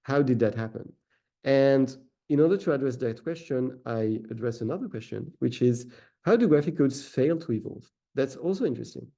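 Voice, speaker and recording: A man's voice: medium pitch, lively intonation, normal speed, vocal fry, warm tone. A man whose first language is French, speaking with a French accent, giving an academic lecture with many "uh" fillers and self-corrections. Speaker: researcher giving a talk.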